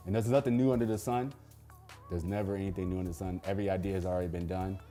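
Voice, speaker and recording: A young man from Baltimore who directs films and music videos, answering questions in a relaxed, laid-back way with many pauses and fillers. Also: low-pitched. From Baltimore, Maryland. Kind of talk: casual Q&A chat.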